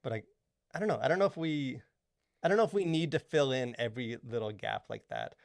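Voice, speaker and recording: A man's voice: medium pitch, big pitch swings, a little fast, gravelly, dry recording, clean studio sound. A man co-hosting a podcast, talking casually in a rambling way, full of fillers and restarts. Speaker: podcast co-host. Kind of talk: casual chat.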